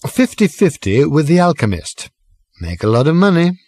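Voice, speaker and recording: Man acting a slurring, halting drunk, pitched high. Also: big pitch swings, a little mellow, dry recording, studio quality. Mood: slow, boastful, slurred. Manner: slurred, halting, laboured over long words. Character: drunken, affronted, rambling man telling tall tales.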